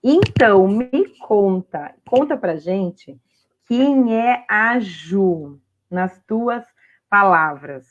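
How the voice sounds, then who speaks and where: A woman's voice: medium pitch, lively intonation, extremely slow. Female Brazilian livestream host, mid-range voice, conversational interviewer style with frequent tag questions, somewhat lo-fi sound. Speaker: host of an online women's talk series. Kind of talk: casual livestream interview talk.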